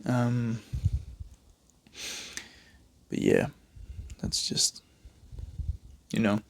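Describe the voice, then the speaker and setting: A man's voice: mid-range voice, wildly swooping pitch, drawn-out words, gravelly. A young man from Denmark with a Danish accent, chatting casually while he draws, rambling and joking at his own expense. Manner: rambling, chatty, self-mocking, many fillers and restarts. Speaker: art vlogger. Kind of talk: casual chat while drawing.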